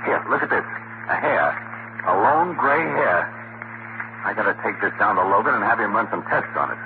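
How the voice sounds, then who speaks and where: American newspaper sleuth, brisk, slangy, low-pitched, wisecracking, 1940s radio drama, heavy noise, tinny narrow sound. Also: male voice, lively intonation. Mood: intent, brisk. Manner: slangy, punchy, informal. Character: brisk wisecracking male crime photographer playing amateur sleuth. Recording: noisy, narrow-band 1940s radio recording.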